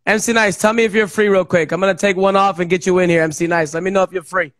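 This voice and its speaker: An excitable man in his thirties with a high voice and a dry, close microphone sound. He hosts a livestream in slangy, casual talk, often repeating his phrases. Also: fast, no room sound. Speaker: host of live music show. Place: small indoor room. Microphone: close studio microphone with headphones.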